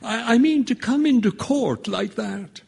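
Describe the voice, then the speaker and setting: A frail old juror in a stage-style audio drama. His voice is high for a man and very gravelly. He speaks slowly and haltingly, stammering with gentle earnestness. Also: exaggerated voice. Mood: halting, sorrowful. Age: older. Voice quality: thin, creaky, husky.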